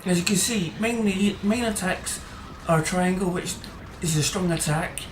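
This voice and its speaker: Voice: mid-range voice, measured pace, background noise. Rambling casual gamer in a roomy echo. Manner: chatty and rambling, with hesitant fillers and restarts. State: voice tiring. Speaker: gaming channel host. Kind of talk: casual gameplay commentary. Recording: roomy echo, faint music behind.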